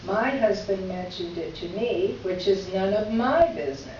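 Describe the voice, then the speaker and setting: A woman's voice: medium pitch, lively intonation, darkish tone, natural voice. Woman acting a drily pointed columnist, very echoey room. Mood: dry, pointed. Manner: formal, measured, drily ironic. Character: polite but pointed woman newspaper columnist writing a complaint letter. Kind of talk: staged readers theater, reading a letter aloud. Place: live reading in a reverberant room. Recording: echoey room from far off, dull, noisy.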